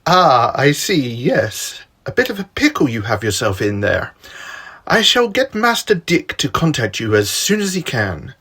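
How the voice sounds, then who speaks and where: A man's voice: medium pitch, wildly swooping pitch, unhurried, bright, forceful tone, slightly rough, heavily acted. A man voicing a polite, formal elderly butler in a hobby skit. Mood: courteous, helpful. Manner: formal, courteous, proper.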